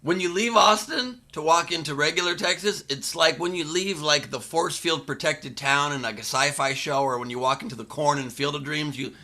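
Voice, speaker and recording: Guy hosting a comedy chat, super bright voice, cracking jokes a lot. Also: fairly high pitch, moderate pace, hardly any pauses, a little hiss or hum. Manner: joking, laughs often. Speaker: comedy podcast host. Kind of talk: casual comedic banter.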